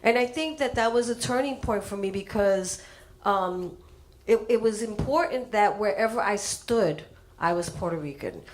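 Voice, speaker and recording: A woman's voice: medium pitch, normal speed. Woman telling a story to a gathering. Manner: storytelling, animated when acting out quotes. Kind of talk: speaking at an artist reception.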